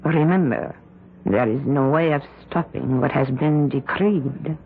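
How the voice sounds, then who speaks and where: Brief: actor as a gravelly, unhurried coven master, radio play, phone-thin sound. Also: male voice, mid-range voice, over loud music, close to an everyday voice. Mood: ominous. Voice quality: gravelly, slow and solemn. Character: slow, gravelly male coven leader, ominous. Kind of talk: 1970s radio drama acting. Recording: phone-like old radio recording.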